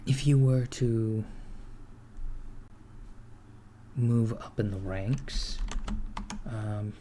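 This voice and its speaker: A man playing a dry, deadpan villain's recruiter in a soft-spoken ASMR roleplay, measured and a little hesitant as he interviews henchmen. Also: fairly low pitch, noisy background. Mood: businesslike. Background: computer keyboard typing.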